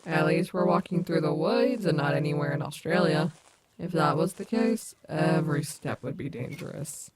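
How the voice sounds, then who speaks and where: A woman's voice: deep voice, normal speed, a little hiss or hum, natural voice. A woman playing a cocky but caring animatronic wolf rock star in an ASMR audio roleplay, teasing and affectionate. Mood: alert, reassuring.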